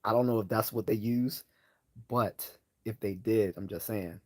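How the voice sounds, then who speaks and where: Hoarse man rambling through a livestream. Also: on the low side, unhurried, darkish tone. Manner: rambling, hesitant, many fillers and restarts. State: hoarse, losing his voice. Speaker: livestream host answering chat questions. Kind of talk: casual live Q&A chat.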